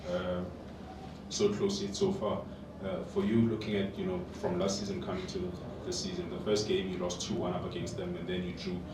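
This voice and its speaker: A man asks a roundabout press question in a low voice. He is off the microphone, with strong room echo. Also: lively intonation, moderate pace. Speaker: reporter. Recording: echoey noisy room, distant mic.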